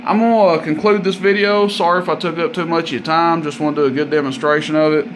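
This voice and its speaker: A man's voice: a little high, steady tone, fast, a bit bright, slight background noise, rough recording. A man giving a casual, hands-on radio equipment demonstration in a plain-spoken, folksy, informal way. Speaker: product demonstrator and seller.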